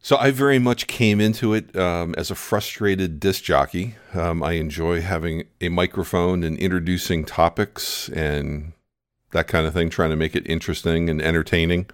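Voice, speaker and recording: Male podcast co-host, low voice, dry close sound, deadpan quips. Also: moderate pace, clean tone, dry recording. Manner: dry wry humor, DJ-like introductions. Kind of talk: casual chat, radio-style hosting. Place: home studio, recorded locally over Skype.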